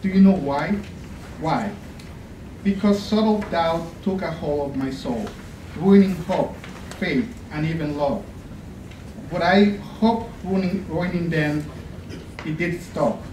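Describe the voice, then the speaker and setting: A man recites a poem in an impassioned, pleading way, his voice slightly high. He is heard from afar in an echoey college room with clear background noise. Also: slow pace, pauses often, a little mellow. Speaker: contestant. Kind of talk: dramatic poetry reading. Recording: echoey room, distant mic.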